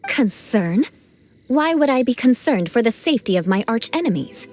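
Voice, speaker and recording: A woman's voice: on the high side, sing-song, moderate pace, a little mellow, faint music behind. A woman voicing a proud young aristocratic female knight in a game, speaking formally with dry irony. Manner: formal, haughty, dryly ironic. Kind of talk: game voice acting.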